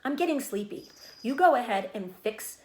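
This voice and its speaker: A woman's voice: on the high side, lively intonation, normal speed, roomy sound, pitched above the performer's own voice, natural voice. A woman reading a children's storybook, voicing an innocent, anxious young male pig who talks in an earnest, childlike way. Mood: sleepy, content. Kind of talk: children's storybook read-aloud.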